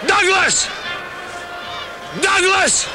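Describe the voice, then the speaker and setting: A man shouting urgent warnings in short, loud bursts from a football sideline. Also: extremely high pitch, extremely slow. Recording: noisy stadium, loud crowd and music.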